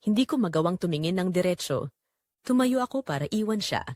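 Expressive woman narrating, very dry sound. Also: lowish voice, fairly quick, no room sound. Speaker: narrator of an audio drama. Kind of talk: first-person dramatic romance story narration.

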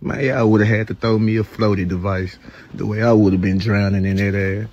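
A man's voice: lowish voice, fairly even intonation, brisk, a little mellow, lo-fi sound, slight background noise. A man doing a joking, mocking social media rant.

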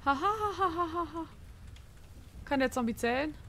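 A woman's voice: extremely high pitch, expressive, normal speed, a bit bright, slight background noise. A woman livestreamer improvising role-play in a game, talking in a panicky way and repeating her phrases. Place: indoor streaming room.

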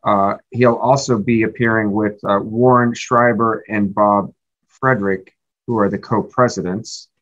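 Halting, lowish-voiced American chairman. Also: steady tone, fairly bright tone, lo-fi sound. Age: middle-aged. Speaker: committee chair opening a hearing. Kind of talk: formal introductions read from notes. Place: indoor office, on a video call.

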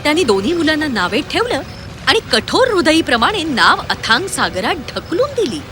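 High-pitched, lively woman storyteller. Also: moderate pace, background noise. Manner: expressive, dramatic storytelling. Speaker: narrator. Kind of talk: scripted children's fairy tale narration. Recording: music clearly under the voice.

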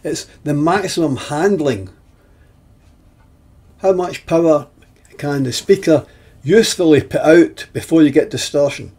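An elderly man explains technical material step by step, as in an instructional video. His husky voice is mellow and unhurried. He phrases things deliberately, pausing often as he goes. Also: on the high side. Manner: deliberate, halting phrasing with pauses. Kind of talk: explanatory technical lesson over slides.

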